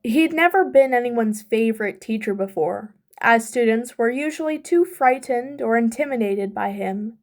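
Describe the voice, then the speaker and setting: A woman narrates a piece of fiction as an amateur audiobook reader. Her voice sits a little high, and she reads from a script in storytelling prose. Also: lively intonation, moderate pace, hardly any pauses.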